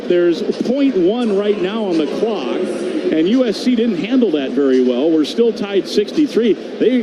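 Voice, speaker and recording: High-voiced man calling basketball play-by-play. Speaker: play-by-play sports commentator. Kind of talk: live radio basketball play-by-play commentary. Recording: clear background noise.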